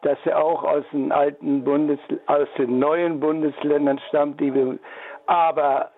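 An older man calling in to a radio show, talking in a halting, rambling way with many restarts, over a tinny phone line. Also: a little high, level tone. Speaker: caller. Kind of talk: call-in radio comment.

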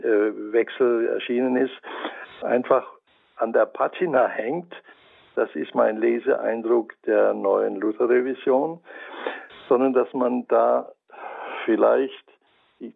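A man with a Franconian German accent giving a measured, scholarly radio commentary, sometimes pausing to search for words. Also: medium pitch, creaky, phone-quality sound. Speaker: commentator on Bible translation. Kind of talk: reflective spoken radio essay.

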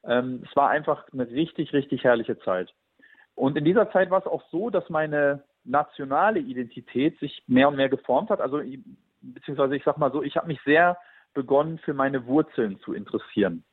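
A man's voice: mid-range voice, animated, moderate pace, breathy edge, no echo. Earnest man on a tinny line. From Germany. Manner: earnest and reflective, with frequent hesitations. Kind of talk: personal testimony talk. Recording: tinny phone-like sound.

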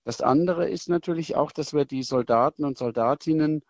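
A middle-aged man, a military chaplain, answering interview questions in a thoughtful, measured way with hesitations and restarts. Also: a little high, a little mellow, no room sound, lo-fi sound.

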